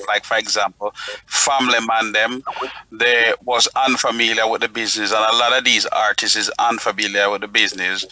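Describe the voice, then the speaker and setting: A man's voice: fairly low pitch, pushed and bright, low-quality recording. A man on a talk panel explaining his opinions emphatically, repeating words to drive points home.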